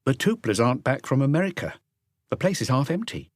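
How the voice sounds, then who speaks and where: A man's voice: medium pitch, big pitch swings, fairly quick, no echo, voice pushed up. A man voicing a young, affable female concierge in an audiobook, polite, chatty and matter-of-fact. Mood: helpful, chatty. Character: young, affable woman concierge, helpful and soft-spoken. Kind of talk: audiobook character dialogue.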